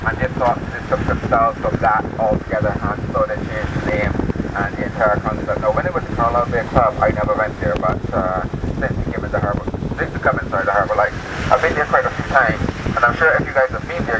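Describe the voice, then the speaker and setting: A middle-aged Barbadian man working as a tour guide, chatting and joking with his group in a relaxed way with many filler sounds. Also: medium pitch. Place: open jeep driving outdoors. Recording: loud wind and engine noise, distant mic.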